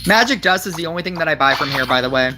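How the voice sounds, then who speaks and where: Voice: medium pitch, animated, rapid-fire, music in the background. A game streamer chatting casually over mobile gameplay, giggly and rambling with lots of fillers. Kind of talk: casual gameplay commentary with chat.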